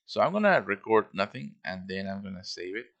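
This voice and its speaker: A man's voice: low-pitched, sing-song, fairly quick, a bit bright, dull audio. A man giving a casual show-and-tell tutorial as a game modder, informal and thinking aloud as he works.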